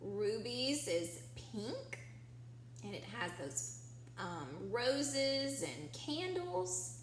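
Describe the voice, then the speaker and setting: A woman reading a picture book aloud to children like a teacher, expressive and encouraging, drawing out key words and putting questions to her listeners. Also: medium pitch. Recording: echoey room, faint background noise.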